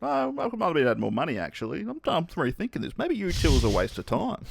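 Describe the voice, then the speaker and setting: A man's voice: on the high side, wildly swooping pitch, fast talker, creaky, breathy edge, dry recording. A young Australian man co-hosting a podcast, bantering with self-mocking jokes and laughs. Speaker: podcast co-host. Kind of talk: casual chat.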